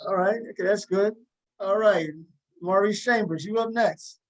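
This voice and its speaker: A man's voice: sits high, normal speed, low-quality recording. A man hosting a casual talk show interview, encouraging his guests and often repeating himself. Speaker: host interviewing a play's cast.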